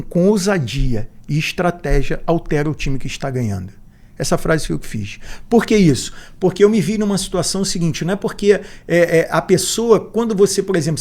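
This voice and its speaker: A man's voice: a little high, a little mellow. A man in his forties with a Brazilian accent, a podcast guest who talks reflectively and repeats words as he gathers his thoughts. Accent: Brazilian Portuguese. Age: 40s. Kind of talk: podcast interview.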